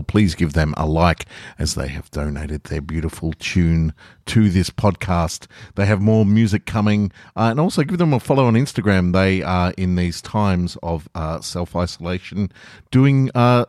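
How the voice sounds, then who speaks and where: Low-voiced man hosting a storytelling podcast. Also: a little mellow, dry recording, studio quality. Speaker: podcast host and author reading own stories. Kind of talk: casual podcast intro, then narrated short stories.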